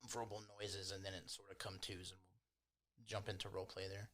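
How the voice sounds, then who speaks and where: A man's voice: sits low, little pitch movement, fairly quick, a bit bright. A man running a tabletop game as game master, narrating in an informal, improvised, chatty way. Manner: informal, chuckles while narrating.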